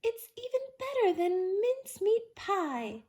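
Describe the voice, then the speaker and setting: A woman's voice: very high-pitched, big pitch swings, takes it slowly, a little mellow, pitched above the performer's own voice, heavily acted. A woman voicing a happy, reassuring mother fox in a children's storybook, in a soothing manner. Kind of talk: children's storybook read-aloud.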